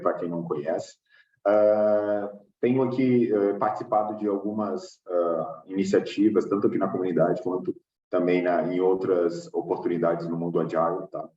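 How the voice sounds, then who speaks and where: A man with a Brazilian accent giving an online talk as an agile coach, relaxed and tagging his sentences with "tá?". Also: low voice, normal speed. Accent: Brazilian Portuguese. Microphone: video call. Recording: distant, echoey, muffled call audio.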